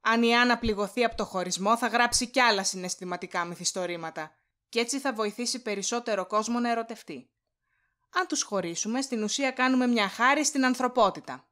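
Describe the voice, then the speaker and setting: A woman plays a cold, haughty female boss in an audio drama, mid-pitched and sure of herself. She talks down to people with cutting condescension and schemes with obvious vanity. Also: fairly bright tone, lightly acted. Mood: condescending, persuasive. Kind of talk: Greek audio drama acting.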